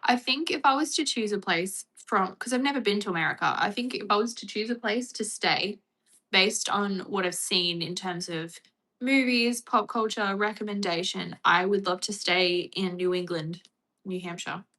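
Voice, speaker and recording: A woman's voice: medium pitch, moderate pace, pushed and bright, low-quality recording. An Australian woman chatting casually on a livestream, reading and answering viewer comments. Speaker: livestream host.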